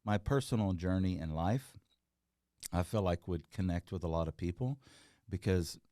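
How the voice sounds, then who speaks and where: A man's voice: sits low, moderate pace, warm tone, dry recording, clean studio sound. A middle-aged man, a guest talking casually and earnestly, rambling with fillers and restarts. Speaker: guest, entrepreneur. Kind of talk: casual interview chat.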